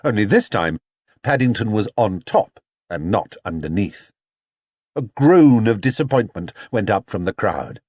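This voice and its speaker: A man narrating a children's storybook in an expressive, theatrical way. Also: lowish voice, a little slow, no room sound, clean studio sound. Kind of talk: animated children's audiobook narration.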